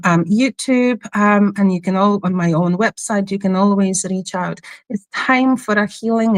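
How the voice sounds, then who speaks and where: A woman's voice: mid-range voice, no room sound. A woman with a Polish accent tinged with Scottish, a spiritual healer guest speaking hesitantly with many ums. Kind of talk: interview guest.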